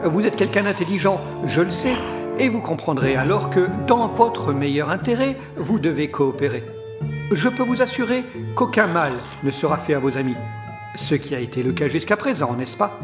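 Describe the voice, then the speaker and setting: A man's voice: on the high side, lively intonation, moderate pace, over music, lightly acted. An actor playing a condescending villainous scientist in his forties, formal and courteous in a smug, controlling way, in an audio drama. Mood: polite, controlling. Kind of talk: scripted audio drama acting.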